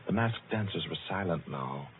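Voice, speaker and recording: A man's voice: deep voice, expressive, moderate pace, a little hiss or hum. A man narrating a suspense tale for an old radio drama in a solemn, scripted storytelling manner. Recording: muffled, tinny old radio recording. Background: music.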